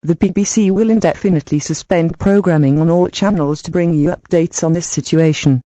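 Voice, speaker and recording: Woman newsreader, flat bulletin read; mellow voice, dry recording. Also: lowish voice, brisk, dry recording. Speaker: newsreader in a fictional emergency broadcast. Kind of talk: scripted amateur audio drama.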